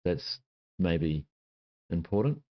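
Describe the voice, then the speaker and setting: Man in his fifties, New Zealand accent, very low voice, halting with fillers, dull home mic. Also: big pitch swings. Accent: New Zealand, softened by years abroad. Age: 50s. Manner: halting, many fillers and self-corrections. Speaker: channel host. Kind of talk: casual self-introduction to camera. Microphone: laptop with webcam and desk microphone.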